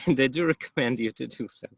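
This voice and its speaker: A man giving a conference talk as an English teacher, pausing often with ums and uhs. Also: medium pitch, gravelly, slightly rough, dry recording. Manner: hesitant, many ums and uhs. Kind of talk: conference presentation. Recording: dull, muffled, dry.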